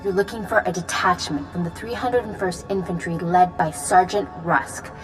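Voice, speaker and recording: An actress playing a grave, resolute Jedi commander in a video game, giving briefings in a serious, measured, authoritative manner. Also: female voice, on the low side, music in the background, close to an everyday voice, rough recording. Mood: urgent. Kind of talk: video game cutscene acting.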